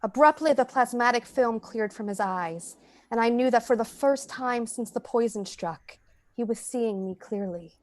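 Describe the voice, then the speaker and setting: An actress playing a cold, obsessive experimenter, narrating formally and intensely in the style of an old time radio horror drama. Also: female voice, on the high side, normal speed, darkish tone, dry recording, lightly acted. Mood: hushed urgency.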